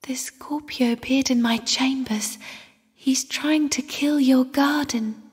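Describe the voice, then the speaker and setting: Sad ghostly girl spirit from a game, slow whispery airy voice, kinda high, solemn and mysterious. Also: fairly even intonation, slightly rough, heavily acted. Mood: solemn, hushed. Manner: slow, hushed, solemn delivery. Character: mysterious, sorrowful young spirit sister of the void. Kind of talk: video game character voice acting. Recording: long cavernous reverb.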